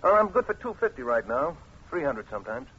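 Cocky, husky, fast-talking nightclub mimic. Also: male voice, mid-range voice, very animated, fairly bright tone. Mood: eager. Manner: brash, slangy patter. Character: cocky, eager small-time nightclub impressionist. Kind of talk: 1950s radio drama acting. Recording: old lo-fi radio recording.

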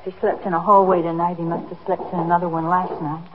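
Through a dull, hissy old radio recording comes a worried middle-aged housewife played by a woman. She speaks earnestly and hesitantly at a medium pitch, remorseful and pleading, in the manner of 1950s radio drama. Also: flat delivery, fast, natural voice. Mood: anxious.

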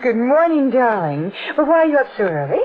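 Expressive devoted sister, old radio. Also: female voice, on the high side, a little fast. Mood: solicitous, affectionate. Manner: solicitous, affectionate, expressive. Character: affectionate, trusting, chatty younger woman, devoted sister. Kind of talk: 1940s American radio mystery acting. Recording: dull, noisy old radio recording.

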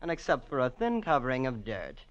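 An actor playing a man revealing an astonishing fortune in old American radio drama, slow, weighty and dramatic. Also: medium pitch, wildly swooping pitch. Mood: grand, weighty. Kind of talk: 1940s-50s American radio drama acting. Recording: muffled old radio recording.

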